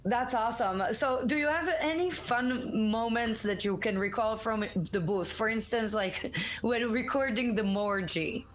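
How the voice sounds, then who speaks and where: A woman's voice: on the high side, measured pace. Informal woman interviewer, faint background noise. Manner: informal, hesitant. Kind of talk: casual interview questions.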